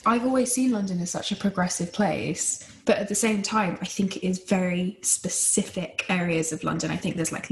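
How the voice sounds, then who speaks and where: A woman's voice: mid-range voice, vocal fry, roomy sound, poor audio. A woman speaking in a thoughtful, hedging way in a casual chat.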